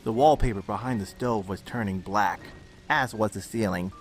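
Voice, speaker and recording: A man's voice: lowish voice, normal speed. A man reading scripted dramatic story narration. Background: music under the narration.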